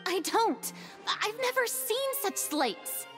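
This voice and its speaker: Dramatic bossy young goddess, high swoopy voice, haughty, defensive, video game. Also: female voice, very animated, slow, exaggerated voice. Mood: evasive. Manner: theatrical, defensive, imperious. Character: haughty, theatrical, evasive young female god. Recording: long reverb, music behind.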